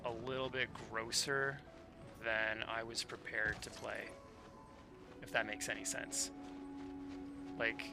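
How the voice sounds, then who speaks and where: A man's voice: medium pitch, steady tone, slow pace, vocal fry, pauses often. A man chatting casually in a slangy, rambling way as he streams a video game. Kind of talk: casual chat while playing. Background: game music.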